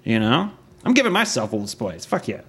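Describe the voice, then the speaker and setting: A man's voice: medium pitch, huge pitch swings, moderate pace, fairly bright tone, husky. A man casually chatting as a podcast host, joking, swearing freely and laughing often.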